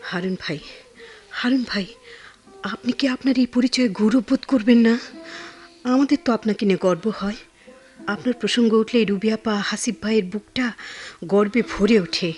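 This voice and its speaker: A woman acting a tender, pensive young woman in a radio drama, soft, earnest and a little hesitant. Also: on the high side, fairly quick, pauses often, faint music behind, natural voice. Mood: earnest, pleading.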